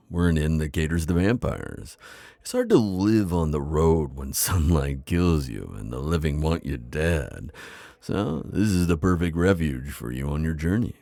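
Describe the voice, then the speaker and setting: Man acting as a very deep, gravelly vampire innkeeper. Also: dark tone, no echo, studio quality, exaggerated voice. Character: friendly, wise old vampire innkeeper, male, welcoming and chatty. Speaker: roleplay audio performer. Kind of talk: immersive ASMR roleplay acting.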